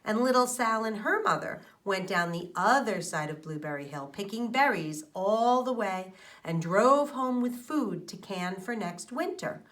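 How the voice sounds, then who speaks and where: Female teacher, children's read-aloud, expressive mid-pitched voice with lively swings, explanatory. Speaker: teacher reading aloud to her class.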